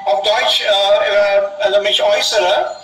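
High-voiced hesitant man on a video call. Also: little pitch movement, unhurried, fairly bright tone, roomy sound, distant mic, soft background music, rough recording. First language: an Indian language. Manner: hesitant, repeats and restarts words. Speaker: guest professor. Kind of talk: online discussion group conversation.

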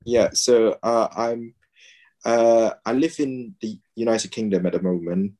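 A man's voice: fairly low pitch, fairly even intonation, unhurried, warm tone. A man in his thirties from Malaysia, talking hesitantly with many fillers as an interview guest on a video call from home. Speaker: guest, pediatrician and game player. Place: home room, indoors.

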